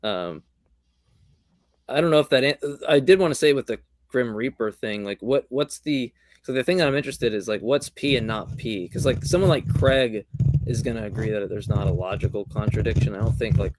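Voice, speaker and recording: A male podcast host who talks in a casual, joking way, rambling fast with many restarts. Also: mid-range voice, no echo. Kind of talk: casual discussion.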